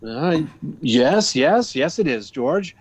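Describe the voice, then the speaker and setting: A man's voice: fairly high pitch, sing-song, slightly rough, dry recording, heavily acted. A man acting a genial, pompous older boss with a fatherly manner in an old-time radio sitcom, on a slightly dull recording. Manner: avuncular, pompous, drawn-out.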